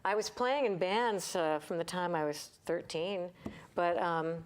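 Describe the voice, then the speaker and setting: A woman's voice: on the low side, a little slow. Chatty woman singer-songwriter in her fifties. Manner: chatty, candid and talkative. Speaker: guest singer-songwriter and vocal coach. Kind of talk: casual interview chat.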